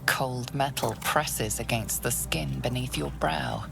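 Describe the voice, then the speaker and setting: A woman playing a grave, ominous storyteller, narrating a video game in a deliberate, suspenseful way. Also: a bass voice, gravelly, music in the background, exaggerated voice. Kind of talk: dramatic scripted game narration.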